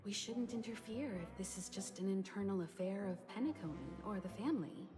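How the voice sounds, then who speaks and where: A woman voicing a composed, intrigued adult traveller in a video game, speaking in a measured, knowing way. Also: mid-range voice, over music, lightly acted. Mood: guarded, measured. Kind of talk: video game story dialogue acting.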